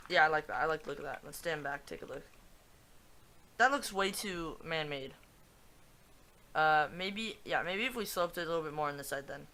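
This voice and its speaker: A man's voice: fairly high pitch, moderate pace, pauses often, sharp tone. A man hosting a casual gaming video, thinking aloud in a loose, rambling way as he talks over his own footage. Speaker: gaming channel host. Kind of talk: casual gameplay commentary.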